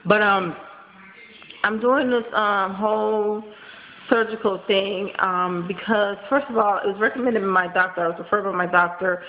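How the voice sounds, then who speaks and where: A woman in her thirties with a nasal voice, congested from a cold and tired, talking fast in a rambling casual vlog full of ums and likes, recorded close on a webcam in a small room at home. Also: medium pitch, fairly even intonation. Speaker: vlogger. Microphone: built-in webcam mic, close. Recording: dull lo-fi webcam audio with faint hiss.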